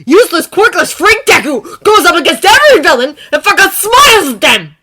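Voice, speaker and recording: A woman's voice: medium pitch, lively intonation, brisk, voice pushed up. Woman doing a furious swearing teen boy. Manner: shouting, swearing, voice cracking. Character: furious teenage boy hero, explosive temper. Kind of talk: fan fiction reading.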